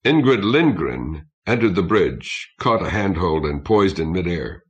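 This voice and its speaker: A man reading science fiction as a scripted audiobook narration, in a measured, literary way. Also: deep voice, very animated, highs cut off.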